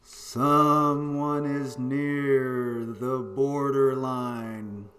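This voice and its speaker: A man playing a sham seance ghost in a radio play, calling out in an eerie, drawn-out manner. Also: mid-range voice, little pitch movement, a bit bright, faint music behind. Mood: eerie, beckoning. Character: sham seance ghost, a male accomplice putting on spirit voice.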